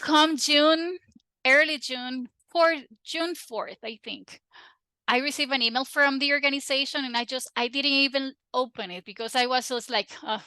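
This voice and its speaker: A woman from Rica, a Spanish speaker, chatting as a guest in an interview with lively restarts and laughter. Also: high voice, moderate pace, clear, resonant tone, dry recording. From Costa Rica. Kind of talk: casual interview chat.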